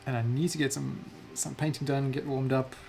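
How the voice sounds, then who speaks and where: A man's voice: mid-range voice, level tone, a little fast, gravelly, darkish tone, faint music behind. A South African man chatting casually while livestreaming a digital painting, muttering self-deprecating remarks to himself as he works.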